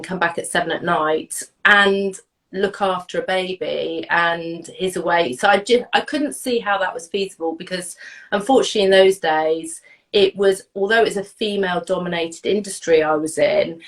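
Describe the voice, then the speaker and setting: A woman's voice: on the low side, normal speed, low-quality recording. A woman in her forties, a bit stuffed up from a cold, chatting as an interview guest in a rambling way over a video call. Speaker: guest, therapist and coach. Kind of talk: casual interview chat.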